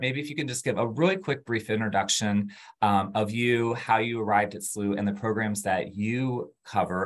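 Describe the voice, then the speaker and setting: Lower-voiced guy hosting a uni webinar on a video call, upbeat, says um a lot. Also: a bit bright. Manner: upbeat, many fillers. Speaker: webinar host, admissions. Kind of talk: webinar presentation.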